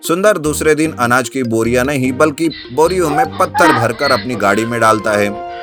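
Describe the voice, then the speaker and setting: Mid-pitched male storyteller over music. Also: lively intonation, moderate pace. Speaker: story narrator. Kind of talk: scripted narration of an animated children's story. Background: background music.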